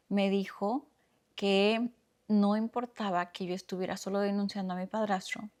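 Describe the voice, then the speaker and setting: A young woman from Oaxaca with a Mexican accent, hosting a podcast and telling her own story in a soft, reflective way with slow pauses. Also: mid-range voice. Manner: soft-spoken, reflective, speaks slowly with pauses. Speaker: podcast host. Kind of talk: personal storytelling monologue.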